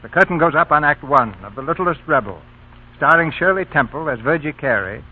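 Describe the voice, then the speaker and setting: A man's voice: fairly high pitch, expressive, fairly quick. A man hosting a radio theatre, reading formal scripted narration in 1940s broadcast style. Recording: tinny old radio broadcast, music.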